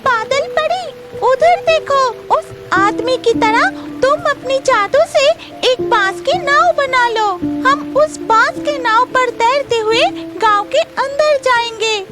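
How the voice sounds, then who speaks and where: A woman voicing an excitable, urgent rain fairy in a cartoon story, full of exclamations. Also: extremely high pitch, slow, loud music behind the voice, pitched above the performer's own voice. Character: excitable female rain fairy seeking her magic wand. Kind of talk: Hindi cartoon story dialogue.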